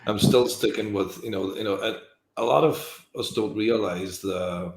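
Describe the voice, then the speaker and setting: A man's voice: lowish voice, unhurried, reverberant, poor audio. A man hosting an interview, speaking in a thoughtful, empathetic way with frequent hesitations. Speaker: interview host. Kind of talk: reflective conversational interview.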